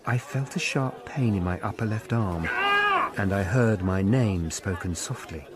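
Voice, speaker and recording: A man's voice: on the low side, a little slow, crowd noise, close to an everyday voice. A man acting in a radio drama as a grave Tudor lawyer-investigator who recounts his own tale. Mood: grave narrating. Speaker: narrator and lead actor in radio drama.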